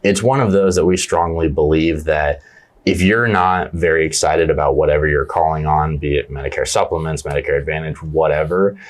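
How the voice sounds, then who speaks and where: A young man with a low voice speaks as a sales team leader in a relaxed interview. He answers with eager, earnest energy and leans on casual fillers. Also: a little fast. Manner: earnest and enthusiastic, repeats "yeah, absolutely". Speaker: team leader in agent outreach.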